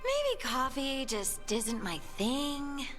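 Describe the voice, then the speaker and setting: A woman acting an earnest, people-pleasing dwarf adventurer from the countryside in a video game, expressive and a little hesitant. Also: high voice, slow, soft background music, heavily acted. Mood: reflective.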